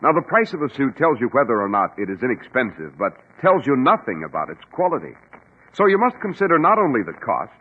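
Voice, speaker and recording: A man's voice: mid-range voice, breathy edge, phone-quality sound. An American man announcing and hosting in polished 1940s radio style, on a vintage radio recording.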